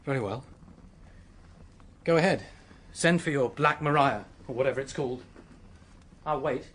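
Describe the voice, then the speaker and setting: An actor playing a distraught young British man in a radio drama, confessing to an accidental killing in a haunted, halting manner. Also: medium pitch, fairly bright tone, a little hiss or hum, lightly acted. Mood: bitter resignation.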